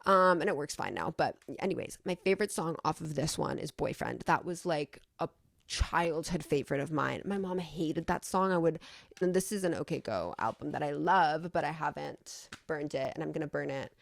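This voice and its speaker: A woman's voice: sits low, expressive, moderate pace, gravelly, dry recording. A young American woman rambling casually as a vlogger, effusive and sweary in how she talks. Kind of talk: casual rambling chat to camera.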